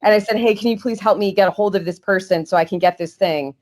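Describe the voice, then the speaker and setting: A woman's voice: medium pitch, fast, darkish tone. A woman talking as a guest historian on a podcast, earnest and explanatory, with small restarts. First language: English.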